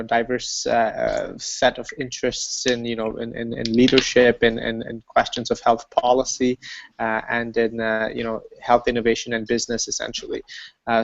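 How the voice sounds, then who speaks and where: A man's voice: medium pitch, gravelly. A man introducing himself as a panelist on a video call, hesitant with many fillers and repeats. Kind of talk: casual self-introduction. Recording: dull lo-fi webinar audio.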